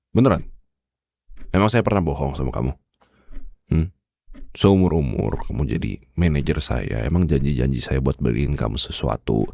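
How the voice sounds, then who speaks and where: Man acting a deep-voiced, bossy Indonesian boss. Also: creaky, darkish tone, no room sound, heavily acted. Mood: coaxing, exasperated. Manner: bossy, sardonic, addresses listener with rhetorical questions. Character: demanding, bossy male boss who softens into bribing his manager. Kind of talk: ASMR roleplay monologue to the listener.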